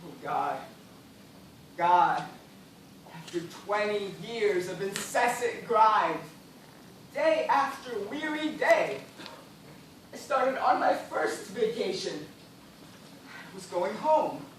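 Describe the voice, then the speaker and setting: An actor playing a weary, formal gentleman castaway in an amateur stage play, declaiming with stubborn reason and despair. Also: high voice, expressive, slow pace, long pauses, heavily acted. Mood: anguished despair. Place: theatre stage, recorded from audience. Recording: echoey stage, distant mic, noisy.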